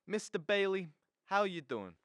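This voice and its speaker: A woman's voice: medium pitch, sing-song, measured pace, no room sound. A teenage girl playing a protective immigrant tavern keeper, a man, in a radio drama, speaking in insistent, simple clipped phrases. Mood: worried, soothing.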